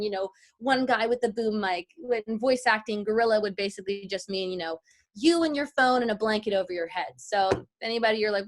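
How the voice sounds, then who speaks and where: A woman teaching an informal online class as a guest voice-over artist, chatty and explanatory, speaking directly to the group. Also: medium pitch.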